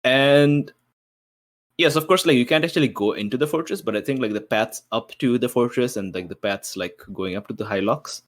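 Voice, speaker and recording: A man chatting casually as a player in a tabletop roleplaying game, halting as he works out his plans. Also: medium pitch.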